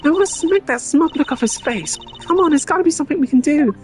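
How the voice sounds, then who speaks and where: A streamer voicing a perky, excitable teenage girl sidekick in a video game, squealing and dramatic. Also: high voice, fast talker, soft background music, pitched above the performer's own voice. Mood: dismayed.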